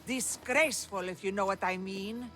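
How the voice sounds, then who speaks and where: A woman's voice: medium pitch, moderate pace, bright, forceful tone, faint music behind, lightly acted. A woman voicing a gossipy, disapproving older woman with colloquial speech. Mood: scandalized.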